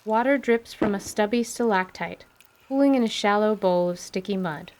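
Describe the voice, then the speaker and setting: A woman narrating a scripted fantasy tale in a measured, literary radio theatre style. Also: mid-range voice, a little mellow. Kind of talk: scripted descriptive story narration. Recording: faint background noise.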